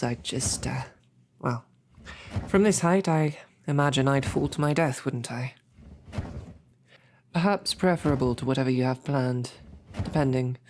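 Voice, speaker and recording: A woman's voice: very deep voice, a little mellow, slight background noise. A woman voicing a snarky, formal captured royal knight in an audio roleplay. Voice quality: formal, wordy and wry. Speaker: audio drama performer.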